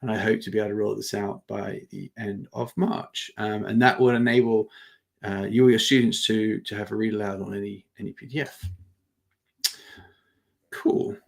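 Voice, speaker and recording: A man presents an informal live product demo with noticeable vocal fry. His delivery is halting and conversational, as he thinks aloud while he explains things to attendees. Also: lowish voice, steady tone. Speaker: webinar presenter demonstrating software.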